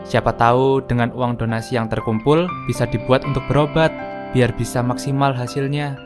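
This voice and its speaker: A courteous charity foundation owner, played by a man, speaks in a formal, measured way at a middle pitch. Faint music sits behind him in this cartoon drama. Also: little pitch movement, lightly acted. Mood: polite. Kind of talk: animated cartoon voice acting.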